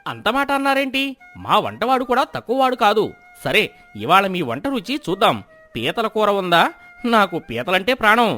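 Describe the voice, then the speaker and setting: An actor playing a boastful, food-loving landlord visiting as a guest in a moral story cartoon, grand and effusive. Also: male voice, high-pitched, big pitch swings, moderate pace, pushed and bright, soft background music, heavily acted.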